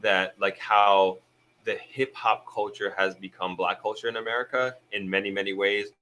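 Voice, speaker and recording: A man's voice: fairly low pitch, fairly even intonation, moderate pace, pushed and bright, slight background noise, rough recording. A young man born in Jamaica, hosting a live stream and chatting casually about viewer comments, often restarting his sentences as he thinks aloud.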